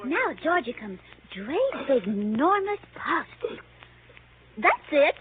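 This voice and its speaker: A woman's voice: high voice, sing-song, slow pace, narrow, tinny audio, slight background noise, heavily acted. An actress playing a coquettish young woman who gushes cloying baby talk to her lapdog in a 1930s radio comedy. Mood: excited, egging on. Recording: thin, band-limited 1930s radio recording, crowd.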